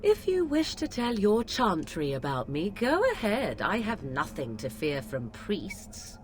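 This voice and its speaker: Haughty young witch, expressive pitch. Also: a little slow, slight background noise. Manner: haughty, archaic phrasing. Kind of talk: fantasy video game acting.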